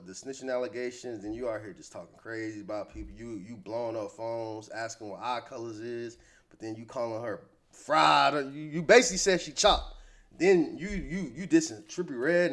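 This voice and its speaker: An American man giving casual, opinionated hip-hop gossip commentary in slangy, conversational speech. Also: mid-range voice.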